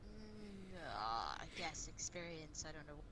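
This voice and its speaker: A woman's voice: low-pitched, sing-song, measured pace. A woman leading an online roleplay group, giving casual improvised instructions in a rambling, hesitant way. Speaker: group leader and game organizer. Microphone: online game voice chat. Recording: noisy online voice chat.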